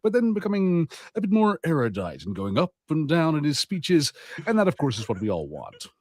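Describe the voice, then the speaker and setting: A man co-hosting a film podcast, chatting casually and telling things in an animated way with mock impressions. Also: fairly high pitch, fairly quick, no echo, studio quality. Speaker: podcast co-host. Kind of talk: casual banter on a film watch-party podcast.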